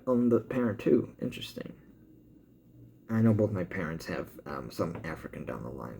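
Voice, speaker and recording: A man with an American accent talking casually as a vlogger, thinking aloud in halting commentary as he reads through results. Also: medium pitch, gravelly, darkish tone, slight background noise. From born in Cuba, grew up in the US. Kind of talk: casual update talk, reading results aloud.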